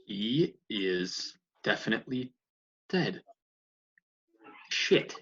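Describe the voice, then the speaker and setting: A man playing a hesitant, awkward housemate with a raspy, creaky voice in a comedy table read. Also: lowish voice, animated, very slow, fairly bright tone, highs cut off, full character voice. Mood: uneasy.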